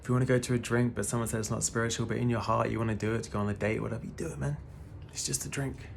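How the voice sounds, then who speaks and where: Gravelly laid-back man in his thirties. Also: mid-range voice, fairly even intonation, rapid-fire, a little hiss or hum. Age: 30s. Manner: laid-back, peppers talk with "man" and swearing. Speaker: spiritual vlogger. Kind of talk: casual spiritual monologue.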